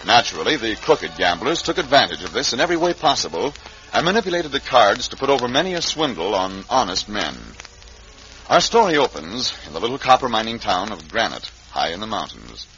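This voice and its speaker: A man's voice: on the low side, bright, forceful tone. A man narrating an old-time radio adventure as announcer, with dramatic storytelling delivery. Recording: muffled vintage radio recording with music.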